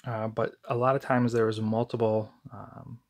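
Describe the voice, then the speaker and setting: An American man giving a casual, unscripted product review in a conversational, somewhat halting way, with a gravelly, husky voice. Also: mid-range voice, flat delivery, a little mellow.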